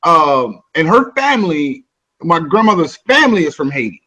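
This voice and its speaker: A man's voice: a little high, sing-song, normal speed, sharp tone, rough recording. A man from the Midwest hosting a casual chat, loose and joking. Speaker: host.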